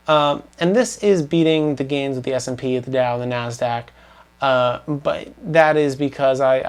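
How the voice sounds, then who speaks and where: A young man with a slightly scratchy voice giving a rambling, earnest update on his investments to camera, full of ums and restarts. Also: mid-range voice. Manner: rambling, filler-heavy, earnest. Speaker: finance vlogger. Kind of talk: casual portfolio update to camera.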